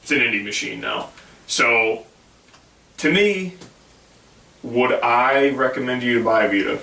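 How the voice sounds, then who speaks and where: Hoarse, blunt man on a gaming podcast. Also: medium pitch, unhurried, sharp tone, reverberant, far from the mic, low-quality recording. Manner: blunt and dismissive, reads news aloud. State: hoarse, losing his voice. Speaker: podcast co-host. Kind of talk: casual gaming podcast chat.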